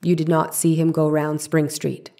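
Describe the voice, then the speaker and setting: Woman playing a persistent low-voiced defense lawyer. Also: completely flat delivery, moderate pace, vocal fry, dark tone. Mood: methodical questioning. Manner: formal, probing, methodical questioning. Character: persistent, exacting male defense lawyer in an 1890s trial. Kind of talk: dramatic reading of a trial transcript.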